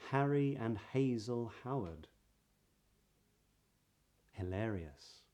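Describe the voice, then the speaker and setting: Calm southern English voice coach. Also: male voice, medium pitch, slow pace. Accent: Southern British English. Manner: clear, demonstrative, breathy example sounds. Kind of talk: pronunciation lesson.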